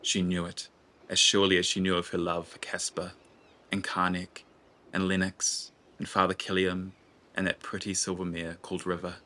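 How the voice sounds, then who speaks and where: A man narrating a scripted fantasy audio drama in a deliberate, literary storytelling manner. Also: sits low, monotone, sharp tone.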